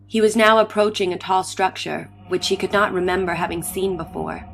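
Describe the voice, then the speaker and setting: A woman with a slightly low voice gives a dramatic reading of vintage science fiction. She narrates in formal, literary sentences, with faint music underneath. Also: steady tone, a little fast, vocal fry, rough recording.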